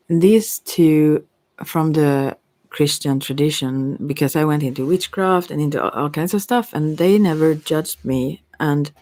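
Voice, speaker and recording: Low, slow, creaky woman. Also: dark tone, no room sound. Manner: hesitant, meandering, giggly asides. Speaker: ASMR tarot reader. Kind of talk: rambling, informal ASMR chat.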